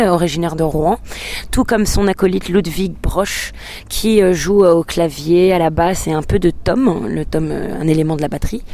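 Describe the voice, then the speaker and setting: A woman's voice: lowish voice, mellow. A woman whose first language is English, a singer-songwriter answering interview questions in a hesitant way, with many filler sounds and restarts.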